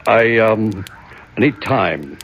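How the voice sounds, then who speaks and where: An actor playing a troubled, authoritative American senator, grave and terse, in a 1970s radio drama. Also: male voice, fairly low pitch, animated, slow, husky, muffled recording, exaggerated voice. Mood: tense, guarded. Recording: vintage radio with faint noise.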